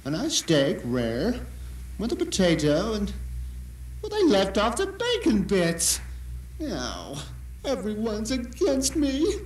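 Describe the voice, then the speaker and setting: A man's voice: high-pitched, wildly swooping pitch, slow, a little hiss or hum, full character voice, poor audio. An actor playing a bitter, deluded blind man who tells his story in a theatrical, self-righteous audio drama monologue. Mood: resigned, aggrieved.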